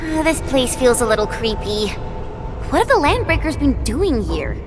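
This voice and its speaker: A woman playing a lively, informal young fighter in a video game, talking in a casual, expressive way. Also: sits high, normal speed, over music. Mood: curious, uneasy. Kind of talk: video game cutscene acting.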